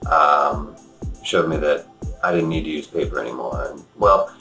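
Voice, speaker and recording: A man explaining his work in an interview, speaking in a halting, hesitant way. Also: extremely low pitch, gravelly, pushed and bright. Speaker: animator, interviewee. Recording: roomy sound with faint music.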